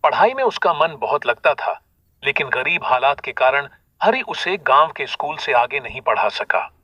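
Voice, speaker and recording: Very bright-voiced male storyteller. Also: mid-range voice, sing-song, normal speed, highs cut off. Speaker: storyteller, narrator. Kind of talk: scripted moral story narration.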